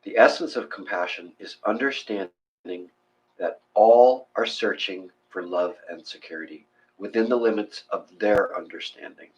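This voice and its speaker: A man leading a guided meditation and reading aloud in a measured, gentle, instructional way. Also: lowish voice, low-quality recording.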